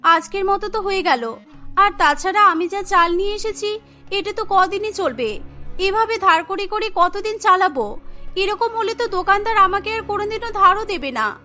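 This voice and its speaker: A woman's voice: very high voice, expressive, moderate pace, bright, forceful tone, faint music behind, full character voice. A woman voicing a practical, earnest young daughter-in-law in a cartoon story. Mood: worried.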